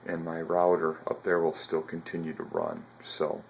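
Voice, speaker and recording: Low, flat-voiced man walking through his setup. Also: vocal fry, slight background noise, low-quality recording. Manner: halting, frequent ums and uhs, self-correcting. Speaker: video blogger showing his ham radio setup. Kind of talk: casual walkthrough narration. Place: house, garage and basement.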